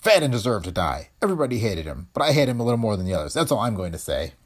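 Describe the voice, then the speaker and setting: A surly young defendant, curt and defiant, as a man reads him. The voice is low and husky. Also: big pitch swings, very fast.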